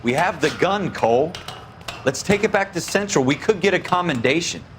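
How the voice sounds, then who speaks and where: A man's voice: fairly high pitch, expressive, normal speed. An American man playing a wary, wisecracking police patrolman in a video game, sardonic and griping in a colloquial way. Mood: doubtful. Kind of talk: video game dialogue acting. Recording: loud music and car noise behind.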